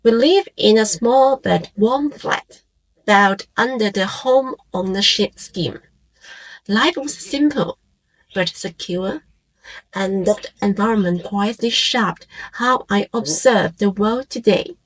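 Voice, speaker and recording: A woman in her forties from Hong Kong, a native Cantonese speaker with a Hong Kong accent, narrates a scripted, reflective piece in measured phrases with short pauses. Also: medium pitch, rough recording. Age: 40s. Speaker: YouTube channel creator and host.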